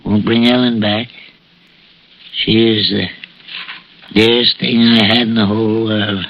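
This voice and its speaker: An actor playing a plain-spoken, ailing older widower in a 1960s radio drama, folksy and halting. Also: male voice, on the low side, level tone, vocal fry, a little hiss or hum. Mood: mournful. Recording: muffled old radio recording.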